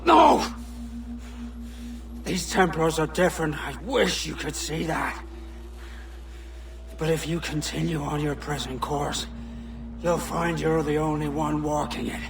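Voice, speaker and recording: Gravelly, bitter old sea rival. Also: male voice, fairly high pitch, measured pace, pauses often, recorded from a distance, background noise. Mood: bitter, reproachful. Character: embittered turncoat pirate hunter, stern moralising male veteran. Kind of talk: video game cutscene acting.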